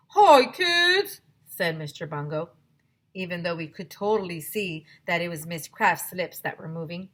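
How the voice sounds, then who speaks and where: A woman teacher reading a children's chapter book aloud in an expressive storytelling style. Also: lowish voice.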